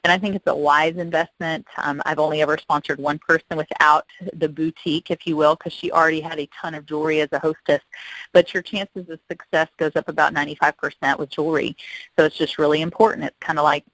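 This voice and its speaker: Chatty woman giving an informal sales pitch. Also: little pitch movement, fast, fairly bright tone, no echo, poor audio. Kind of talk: informal sales presentation on a conference call. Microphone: telephone conference call.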